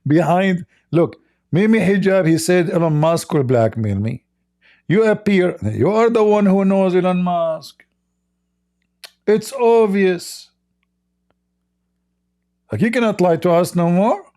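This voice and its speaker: Mellow-voiced sarcastic male commentator. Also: sits high, lively intonation, takes it slowly, long pauses. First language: not English. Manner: sarcastic, laughs often, broken English. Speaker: livestream host. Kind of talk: mocking commentary on video clips.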